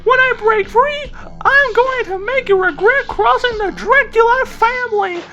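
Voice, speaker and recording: A man voicing a haughty, taunting female vampire in a loud, theatrical falsetto for a story reading. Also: measured pace, highs cut off, over music. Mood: defiant, taunting. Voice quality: shrill falsetto. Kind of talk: amateur character voice in a story reading.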